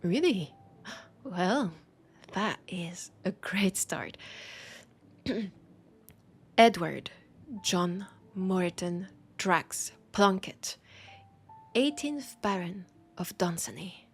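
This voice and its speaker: A woman with a French accent playing a romantic, teasing bookseller in a soft, breathy, intimate roleplay audio. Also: on the low side, expressive, exaggerated voice. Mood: warm, playful. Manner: slow, breathy, whispery, tender and playful. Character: romantic, teasing female bookseller fond of a vampire customer. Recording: music behind the voice. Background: music.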